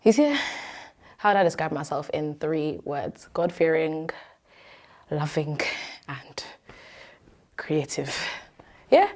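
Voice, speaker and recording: A young woman with a British accent and a notably low voice. She talks in a casual, expressive way, addressing her listeners directly like a host opening up in an informal interview. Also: slow, long pauses. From Nigerian-born, moved to Britain at three. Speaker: show host and content creator. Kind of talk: casual chat answering interview questions.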